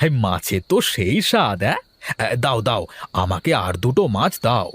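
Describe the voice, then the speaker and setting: A man acting out a homely snack seller from a children's cartoon. He is mild and a little hesitant, and his voice moves with lively, animated swings as if chatting to himself or his family. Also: on the low side, moderate pace, dry recording. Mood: easygoing. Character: mild, homely married fuchka seller who farms fish.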